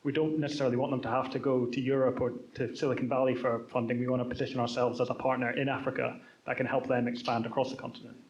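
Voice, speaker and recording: Guy on a vc panel, steady and factual, muffled lo-fi audio. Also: mid-range voice, quick pace, hardly any pauses. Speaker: chief of staff at a venture fund, panelist. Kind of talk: panel introduction. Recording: dull lo-fi sound.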